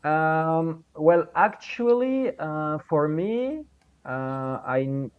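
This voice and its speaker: A man's voice: a little high, animated, drawn-out words, clean tone. Man, muffled call audio. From Belgium. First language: French. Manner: hesitant, searches for English words. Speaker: guest. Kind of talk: casual chat on a video call.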